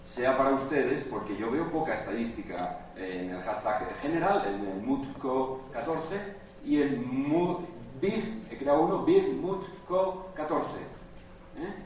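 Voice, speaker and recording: A man from Spain with a Spanish accent giving a live conference talk, hesitant and full of filler sounds as he addresses the audience. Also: medium pitch. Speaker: conference speaker. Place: conference hall. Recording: roomy hall sound from far off with faint noise.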